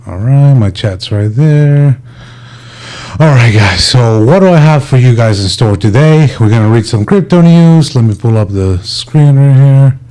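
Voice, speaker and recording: A male livestream host with a dark, mellow voice at a middling pitch. He talks in a laid-back, informal way, addressing his viewers like friends in casual, unscripted chat. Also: lively intonation, measured pace.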